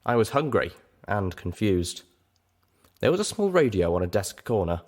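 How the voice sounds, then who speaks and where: Low-pitched man narrating a story. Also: sing-song, moderate pace. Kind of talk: dramatic first-person story narration.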